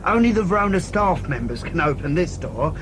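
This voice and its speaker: An actor playing a brusque but avuncular elderly husband and train enthusiast in an audio drama. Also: male voice, a little high, very animated, fairly quick, background noise. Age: older.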